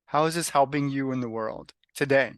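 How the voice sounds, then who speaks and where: A man's voice: mid-range voice, normal speed, clean tone, dry recording, clean studio sound. A man hosting a podcast interview, asking questions and often rephrasing or repeating them. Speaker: podcast host.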